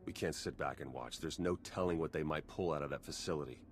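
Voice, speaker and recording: A man's voice: rumbling low, fast talker, soft background music. An actor playing a wry male spy and tech agent who talks in a dry, pragmatic way. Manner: dry, pragmatic, matter-of-fact.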